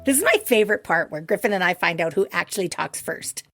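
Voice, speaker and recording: A woman's voice: lowish voice, expressive, fast, a bit bright, soft background music. A middle-aged woman co-hosting a podcast with her son, chatty and playful, often laughing. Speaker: podcast co-host. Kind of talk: playful podcast banter and ad read.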